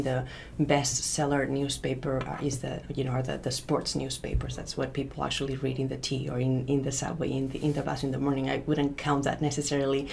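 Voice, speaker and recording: A woman's voice: low voice, creaky, a little mellow, slight background noise. A Spanish-accented woman answering interview questions as a literature professor, thoughtful and hesitant with many fillers and restarts. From Spain.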